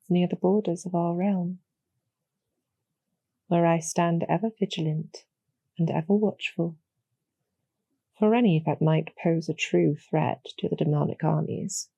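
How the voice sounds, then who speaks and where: A woman playing a calm, courteous female demon general in a roleplay audio, speaking softly with measured, gently formal persuasion and a husky, creaky edge. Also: fairly low pitch, no echo, lo-fi sound, exaggerated voice. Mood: calm, persuasive. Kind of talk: slow, soothing scripted roleplay audio for sleep.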